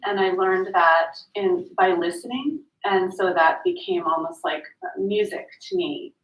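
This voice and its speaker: Woman writer, lowish voice, hesitant with many ums; distant, dull, roomy audio. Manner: soft-spoken, hesitant, frequent ums. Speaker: writer on a panel. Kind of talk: reflective panel answer. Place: event room at a literary gathering.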